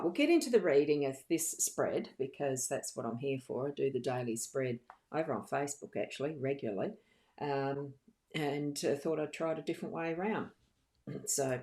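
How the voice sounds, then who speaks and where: Female live-stream card reader, exceptionally low voice, casual, giggly and a bit scattered. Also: animated, normal speed, a little mellow. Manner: chatty, giggly, scattered, thinking aloud.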